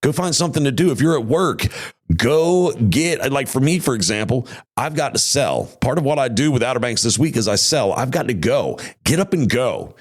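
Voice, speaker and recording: A man's voice: medium pitch, animated, moderate pace. A man hosting a podcast in casual chat, his voice tired and worn, rambling in a folksy way with many restarts. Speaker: podcast host. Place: home studio.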